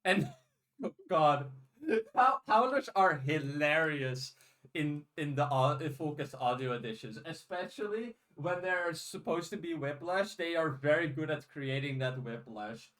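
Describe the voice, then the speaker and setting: A man's voice: a little high, lively intonation, unhurried, fairly bright tone. A native Dutch man speaking English as a podcast host, casual and enthusiastic.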